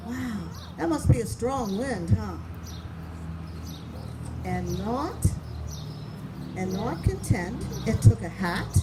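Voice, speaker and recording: A woman reads picture books to young children at an unhurried, slow pace. She draws out words for drama and keeps turning to her listeners with simple questions. The recording is made outdoors, with loud noise and chirping birds around her voice. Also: on the high side, expressive. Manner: asks the listeners frequent simple questions, dramatic word stretching. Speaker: children's storytime reader. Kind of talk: reading picture books aloud to young children, chatting between lines. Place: outdoors among flowers and open sky.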